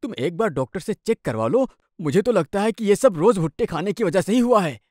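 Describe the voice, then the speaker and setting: A man's voice: sits high, expressive, a little fast, a little mellow, no echo, clean studio sound. A man playing a concerned young husband, earnest and insistent, in a cartoon moral story.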